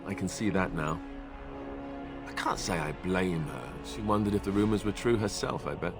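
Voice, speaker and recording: An actor playing an awkward, earnest young warrior in a fantasy video game, speaking in a hesitant, self-effacing, conversational way. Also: male voice, low-pitched, natural voice. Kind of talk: video game cutscene acting.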